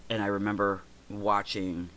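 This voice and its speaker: Man, forties, very low voice, stuffy nose, congested, halting speech, lots of fillers, podcast host. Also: flat delivery, sharp tone, slight background noise. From United States. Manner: halting, with many fillers and restarts. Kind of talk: personal reflective talk.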